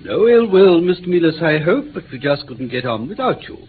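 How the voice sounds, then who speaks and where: Unctuous middle-aged male villain, fairly high voice, swooping intonation, tinny vintage radio drama. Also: very animated, brisk. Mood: oily, sinister. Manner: oily, coaxing, repetitive politeness. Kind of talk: mid-20th-century British radio play acting. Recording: tinny, slightly noisy old radio recording.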